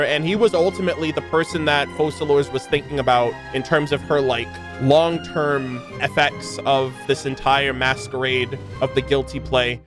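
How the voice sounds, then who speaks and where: Young male gaming host, slightly high voice, enthusiastic and informal lore commentary over light music. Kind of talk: casual commentary and lore reading.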